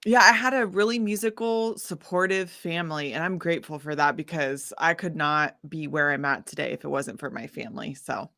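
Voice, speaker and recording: A woman musician telling her story casually in an interview, with an animated, informal manner. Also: sits low, brisk, few pauses, no room sound, clean studio sound. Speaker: guest musician, singer-songwriter.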